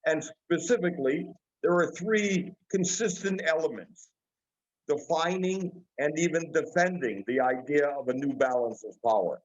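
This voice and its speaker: A man gives analytical remarks on an online panel. His voice is a little higher than average, and the sound is dull and lo-fi. He phrases things formally, in a measured and deliberate way. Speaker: panel speaker, political analyst. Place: online video webinar. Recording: dull, lo-fi webinar audio.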